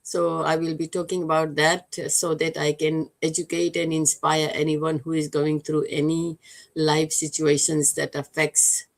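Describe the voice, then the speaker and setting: Low-voiced older woman. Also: level tone, gravelly. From Fiji. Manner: measured, with frequent fillers. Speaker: guest. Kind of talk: personal story in an interview.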